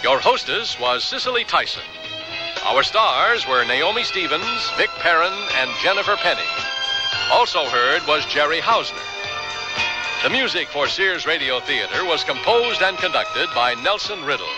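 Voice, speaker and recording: Male announcer for radio theater credits, fairly high voice, lively inflection, loud music bed. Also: normal speed, rough recording.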